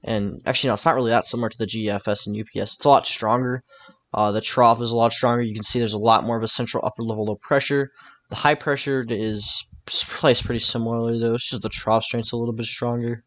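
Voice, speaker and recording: A man's voice: mid-range voice, steady tone, fast talker, fairly bright tone, no room sound. A man talking informally through a weather forecast over maps, thinking aloud in a casual, tentative way. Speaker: weather forecaster presenting his own video.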